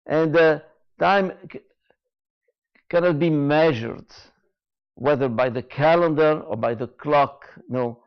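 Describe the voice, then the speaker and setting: A man giving a reflective spoken lecture, slow and deliberate, repeating key phrases with frequent hesitations. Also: on the high side, lo-fi sound. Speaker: speaker giving a talk.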